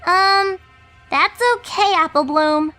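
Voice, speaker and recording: A woman voicing young fillies, girlish and bright, nervous and wary. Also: very high-pitched, slow, soft background music, pitched above the performer's own voice, heavily acted.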